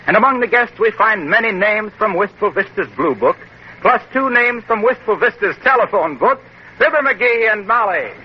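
A man's voice: sits high, expressive, moderate pace. A male announcer reading an upbeat commercial and introduction in a 1940s radio show. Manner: upbeat, selling. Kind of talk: 1940s radio ad read and narration. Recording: dull, hissy old radio recording.

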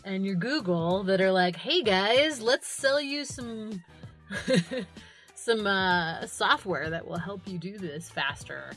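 A woman co-hosting a podcast in casual chat, with an animated, irreverent and sarcastic way of talking that includes free swearing. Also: medium pitch, slow pace, soft background music. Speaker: podcast co-host.

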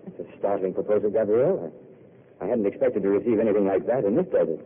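A weary, courtly wanderer with a wry, gentle way of speaking, played by an actor in a 1940s radio drama. His voice is low and his words come quickly. The sound is tinny, like an old broadcast. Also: a little hiss or hum, close to an everyday voice. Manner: courtly, soft-spoken, rueful.

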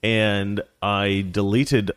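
A man's voice: on the low side, level tone, extremely slow, clear, resonant tone, no room sound, clean studio sound. A man hosting a casual commentary show, rambling and opinionated with a joking edge. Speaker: show host.

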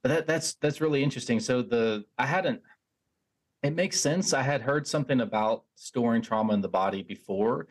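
A man's voice: mid-range voice, normal speed. A man hosting a podcast, reflecting back on what his guest said in a thoughtful, casual way, often restarting his sentences. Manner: thoughtful, reflective, many restarts and "you know"s. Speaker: podcast host. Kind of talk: casual interview chat.

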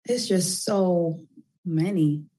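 A woman's voice: fairly low pitch, extremely slow, mellow, rough recording. A woman who writes children's books, a guest on a radio talk show, explaining her work in an earnest, chatty way with a few fillers. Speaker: children's book author, guest. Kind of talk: casual interview.

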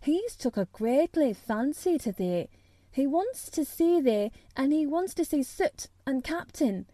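A woman's voice: high voice, big pitch swings, unhurried, mellow, no room sound, voice pushed up. A woman voicing an eager young girl who proudly tries out a broad Yorkshire dialect.

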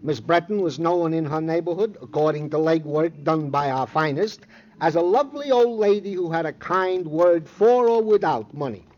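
A man's voice: fairly high pitch, expressive, normal speed, darkish tone, close to an everyday voice. A man playing a fussy, easily wounded police clerk in a vintage radio drama, pompous, plaintive and flowery in his wording. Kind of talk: 1950s American radio crime drama acting. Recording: muffled old radio recording.

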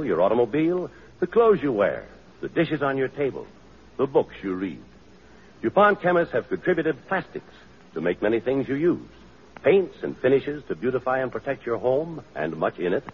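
A male announcer speaks with sweeping, emphatic intonation in an old radio style. His voice is husky and mid-pitched, on a dull vintage recording. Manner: declamatory, emphatic delivery. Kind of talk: 1930s-40s radio announcing, scripted. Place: auditorium stage.

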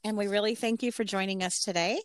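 A woman hosting a true crime podcast in a casual, measured way, her voice getting a little scratchy. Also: medium pitch, fairly even intonation. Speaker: podcast co-host.

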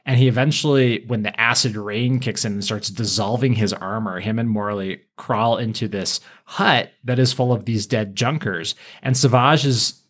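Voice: medium pitch, normal speed, hardly any pauses. This is a podcast co-host with a middle-register voice, retelling a story in a casual recap style. The delivery is informal and playful, dropping in dramatic asides.

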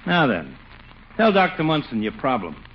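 An actor playing a cocky, stubborn small-town barber, wisecracking in folksy slang in old radio comedy style. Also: male voice, medium pitch, huge pitch swings, moderate pace. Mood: chummy, teasing. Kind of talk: 1940s-50s radio comedy acting. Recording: dull, faintly noisy old radio recording.

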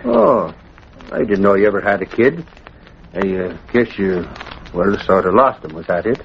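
A man's voice: lowish voice, sing-song, normal speed, vocal fry. An actor playing a blustery, good-natured man in an old radio drama, talking in a folksy, emphatic way. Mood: awkward, sympathetic. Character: blustery, good-natured middle-aged Irish tough guy. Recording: muffled, noisy old radio recording.

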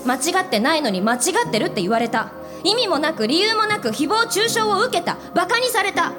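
A woman's voice: very high-pitched, sing-song, brisk, over music. A woman acting a fiery, protective senior businesswoman in a live radio drama, with an emphatic, commanding delivery. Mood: vengeful, indignant.

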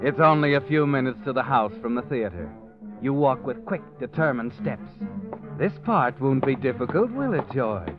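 A man's voice: medium pitch, lively intonation, moderate pace. A man narrating a radio drama in the second person, insinuating and leading. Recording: dull old radio recording. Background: dramatic orchestral music.

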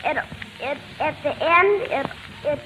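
Little girl quiz kid, high bright voice, halting and stammery, hissy old radio. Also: poor audio. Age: child, about five. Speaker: quiz contestant.

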